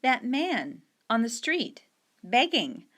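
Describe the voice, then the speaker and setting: A woman's voice: on the high side, very animated, slow, a bit bright, pitched above the performer's own voice, exaggerated voice. A woman voicing an earnest, curious young boy. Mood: questioning. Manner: persistent, questioning.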